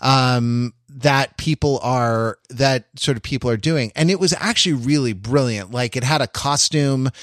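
An American man co-hosting a podcast in casual analytical discussion, wordy and intellectual, often restarting his sentences haltingly. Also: medium pitch, fairly bright tone, no echo, studio quality. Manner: hesitant, halting delivery with repeated false starts. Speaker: podcast co-host.

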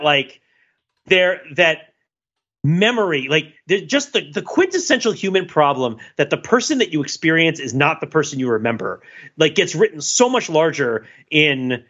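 A man's voice: on the high side, pushed and bright, slightly rough, muffled recording. A man co-hosting a podcast in casual discussion, rambling with many fillers and self-corrections. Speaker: podcast co-host.

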